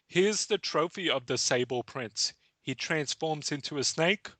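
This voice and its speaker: A man's voice: mid-range voice, fairly bright tone, no echo. A man hosting a casual podcast chat, laughing often and reading out game text. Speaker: podcast host.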